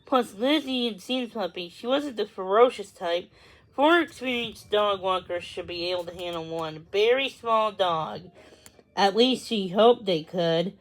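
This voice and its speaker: A woman's voice: mid-range voice, measured pace, poor audio. A woman narrating a children's story as a read-aloud. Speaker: storybook reader.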